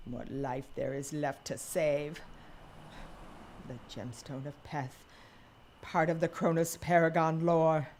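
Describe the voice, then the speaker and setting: A woman playing a weary, sardonic old woman in an audio drama, with a deliberate, dry and scornful manner. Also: sits low, steady tone, darkish tone, a little hiss or hum. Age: older.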